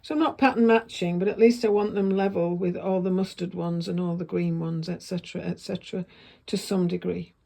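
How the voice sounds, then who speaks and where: A woman with a croaky voice, chatting casually through her sewing work and correcting herself as she goes. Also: on the low side, steady tone, a little fast, warm tone. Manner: chatty, self-correcting, thinks aloud while working. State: croaky voice, coughing. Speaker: sewing vlogger. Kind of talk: casual sewing vlog narration.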